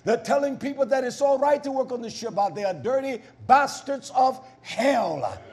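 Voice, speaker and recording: Really high pitched guy preaching hard, stern fiery bible teacher, repeats words for punch, combative. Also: expressive, normal speed, a little mellow. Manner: emphatic, repeating key words for force. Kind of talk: fiery sermon with verse-by-verse exposition.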